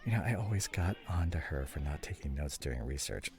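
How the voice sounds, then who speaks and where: Estranged husband played by a male actor, audio drama: deep, husky, emotional and wounded, very wide-swinging delivery. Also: expressive, heavy background noise. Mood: guarded, wistful. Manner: emotional, halting, swinging from muted to heated. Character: estranged husband and father, guilt-ridden, defensive, wounded. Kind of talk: dramatic audio roleplay, one side of a conversation.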